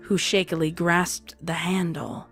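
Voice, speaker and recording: A woman reads scripted fiction as the narrator. Her voice is on the low side, and background music is clearly audible beneath the narration. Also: level tone, measured pace, fairly bright tone.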